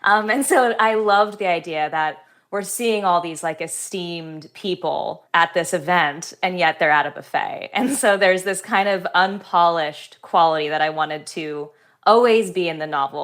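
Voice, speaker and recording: A woman novelist talking in an interview, thoughtful, articulate and measured. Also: fairly low pitch, a bit bright. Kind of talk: book reading and conversational interview answers.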